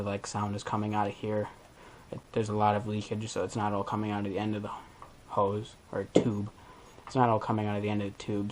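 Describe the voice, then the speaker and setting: A man's voice: fairly low pitch, slight background noise. A man hosting a DIY video, talking through a hands-on tutorial in a casual, informal way as he thinks aloud.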